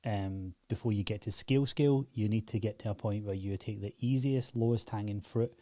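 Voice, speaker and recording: A man's voice: lowish voice, a little fast, warm tone, dry recording. A Scottish man in his thirties talking straight to camera about his business, blunt, emphatic and proud. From Scotland. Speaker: business owner and channel host. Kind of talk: direct-to-camera business talk.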